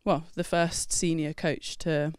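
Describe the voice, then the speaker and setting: A woman's voice: low voice, little pitch movement, takes it slowly, smooth, dry recording. A woman in her thirties co-hosting a casual chat show, chatty and teasing, speaking into a handheld mic in a studio. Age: 30s. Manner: chatty, teasing, laughs often. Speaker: podcast co-host. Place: red studio set, indoors.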